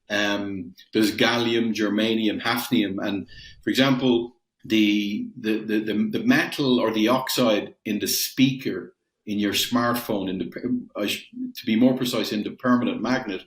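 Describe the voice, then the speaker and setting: A man's voice: fairly low pitch, echoey room. A middle-aged Irish man from Dublin answering interview questions conversationally, with frequent fillers and restarts. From Ireland. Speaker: guest, metals company founder. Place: home in Ireland, roomy.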